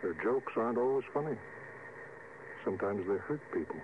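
An actor playing an authoritative, suspicious starship captain in a mid-century radio drama. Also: male voice, medium pitch, expressive, a little fast, lightly acted. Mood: grim, brooding. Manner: clipped, authoritative orders. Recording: noisy vintage radio, thin narrow band.

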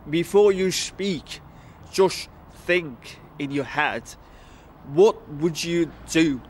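A man's voice: fairly high pitch, a little hiss or hum. A man in his thirties, originally from Afghanistan, with a Liverpool accent, speaking earnestly in an outdoor interview. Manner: earnest, plain-spoken. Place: outdoor garden by brick wall.

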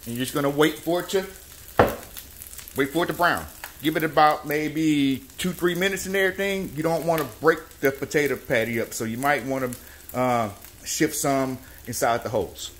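A folksy man walks through a recipe step by step, repeating himself as he goes, his voice sitting a touch high for a man. He is recorded in an echoey kitchen with faint frying sizzle behind him. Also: normal speed, a bit bright, a little hiss or hum. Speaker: home cooking show host. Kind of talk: casual cooking demonstration. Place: home kitchen at the stove. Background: oil frying and sizzling in a skillet.

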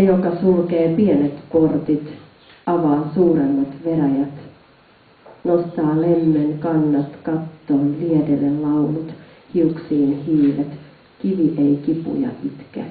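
A man with a fairly high voice recites folk incantation verse. He delivers it in measured, chant-like phrases. The recording is thin and phone-like, heard from a distance in a heavily reverberant space. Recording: very echoey, distant, dull phone-like sound.

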